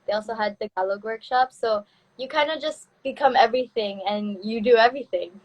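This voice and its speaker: Woman in her twenties, fairly high voice, relaxed livestream host on a phone, halting and casual. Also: highs cut off. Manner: relaxed, hesitant, with fillers and restarts. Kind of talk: casual live-stream chat. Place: home in the Philippines.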